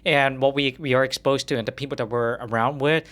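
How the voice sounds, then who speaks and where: Earnest male host at medium pitch. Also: steady tone, brisk, fairly bright tone. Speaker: podcast host, interviewer. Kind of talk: casual, conversational interviewing.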